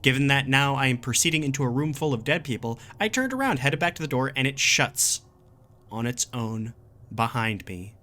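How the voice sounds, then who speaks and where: Man acting a jaded wanderer, forceful and sharp. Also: mid-range voice, expressive, moderate pace. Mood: wry, incredulous. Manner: sardonic, emphatic, colloquial grumbling. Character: jaded, gruff, exasperated male wanderer logging a survival warning. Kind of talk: dramatic reading of a horror survivor's log.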